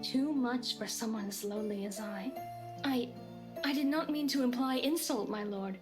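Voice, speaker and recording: Actress as a high-voiced, formal servant woman, music behind. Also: close to an everyday voice. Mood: meek, apologetic. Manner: deferential, measured, formal. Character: meek, formal servant woman, eerily calm and unperturbed. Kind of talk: visual novel voice acting. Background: game music.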